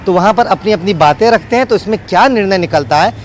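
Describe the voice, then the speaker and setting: A man's voice: high voice, fast talker, slight background noise. A man giving a wordy, unscripted live news report as a field reporter, often repeating set phrases.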